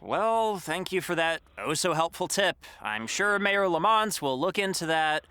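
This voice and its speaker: Crisp, sarcastic mayoral aide. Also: male voice, a little high, expressive, measured pace, sharp tone, no echo. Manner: clipped, sarcastic politeness. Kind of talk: comedy audio drama acting.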